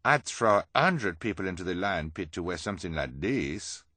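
Man voicing an earnest, lively carnival contortionist woman. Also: sits low, normal speed, dry recording, exaggerated voice. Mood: delighted. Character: earnest female carnival contortionist, easily swayed.

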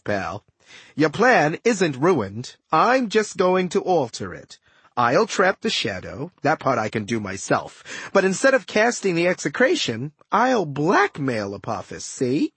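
A man's voice: a little high, a bit bright, slightly rough, no echo, exaggerated voice. A man voicing a smug villain magician in an audiobook, glib, chatty and condescending with mock friendliness. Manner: glib, condescending, casual wise-guy patter. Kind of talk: audiobook character dialogue.

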